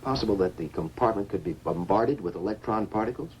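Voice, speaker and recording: An actor playing a thoughtful, analytical scientist, reasoning in a measured way in 1950s science fiction film style. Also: male voice, lowish voice, expressive, darkish tone, close to an everyday voice. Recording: noisy old 1950s film soundtrack.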